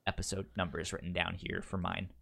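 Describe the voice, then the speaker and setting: A man co-hosting a podcast in casual, unscripted chat, with a stutter that repeats first syllables and a halting, explanatory way of talking. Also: low voice, flat delivery, vocal fry, sharp tone. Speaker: podcast co-host.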